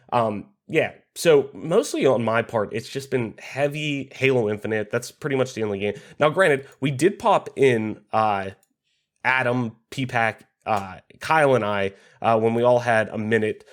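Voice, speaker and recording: A man hosting a gaming podcast in casual chat, his voice slightly raspy from a cold, talking in a loose, rambling way with plenty of fillers and swearing. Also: medium pitch. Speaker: podcast host.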